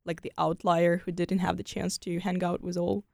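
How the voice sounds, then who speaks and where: A woman's voice: on the low side, little pitch movement, normal speed, creaky, a little mellow, no echo, clean studio sound. A young woman from Ukraine with a Ukrainian accent, a speaker of Ukrainian and Russian, chatting casually as an interview guest and filling her talk with "like" and "you know". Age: young adult.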